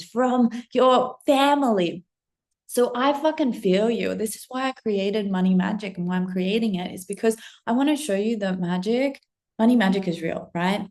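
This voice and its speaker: A woman's voice: on the high side, a little mellow. A woman from Russia in her thirties, talking straight to camera as a spiritual business coach, effusive and breathless, swearing freely and saying "like" often. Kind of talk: excited casual monologue to camera.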